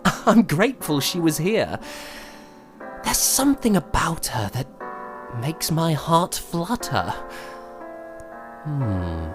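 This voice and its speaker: A man playing a feverish, smitten young gentleman composer in a period audio drama, halting, tender and polite. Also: a little high. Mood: tender, musing. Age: young adult. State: feverish and unwell.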